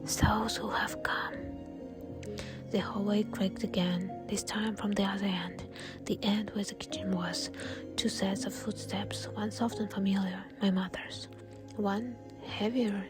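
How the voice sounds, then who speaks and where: Whispering woman telling a horror story. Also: mid-range voice. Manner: breathy, unvoiced whisper throughout. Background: soft music.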